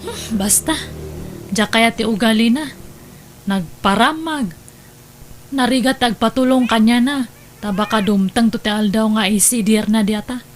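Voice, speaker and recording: Woman as resentful younger sister, Filipino accent, high voice, sullen, grudging, radio drama. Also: unhurried, pauses often, close to an everyday voice. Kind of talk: Ilocano radio drama acting.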